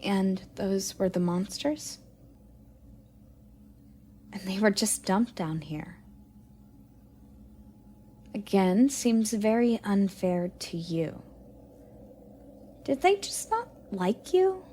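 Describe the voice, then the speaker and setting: A woman playing a curious, playful young goddess in an audio roleplay, sweet and expressive with a slightly haughty streak. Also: mid-range voice, drawn-out words, long pauses, slight background noise. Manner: inquisitive, expressive, cooing at a pet. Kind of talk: romantic audio roleplay addressed to the listener.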